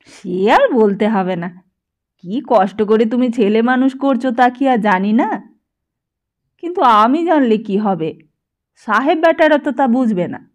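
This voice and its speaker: An older office boss from an audiobook novel , acted out by a woman, talking in a raised, fairly high voice. He is patronising and self-justifying, spelling things out emphatically as he bargains. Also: animated, unhurried, pauses often. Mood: reassuring. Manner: emphatic, counting out sums aloud.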